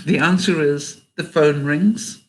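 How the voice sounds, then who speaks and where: An older woman with a Canadian accent and a hoarse, fading voice reads jokes aloud in a casual vlog and laughs often at them. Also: low voice, darkish tone, rough recording. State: hoarse, losing her voice. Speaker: vlogger.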